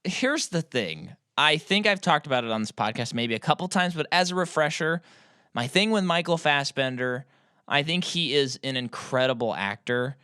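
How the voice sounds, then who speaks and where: A man's voice: a little high, animated, normal speed, pushed and bright, clear, resonant tone, dry recording, clean studio sound. A man co-hosting a movie podcast, chatting casually and explaining with enthusiasm. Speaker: podcast co-host. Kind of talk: casual movie-review chat.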